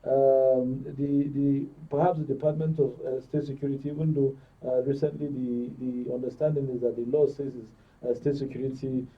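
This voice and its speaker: A man's voice: medium pitch, flat delivery, normal speed, few pauses, husky. A man with a Nigerian accent giving explanatory policy commentary as a panel guest, often repeating words. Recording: thin phone-like sound, distant microphone.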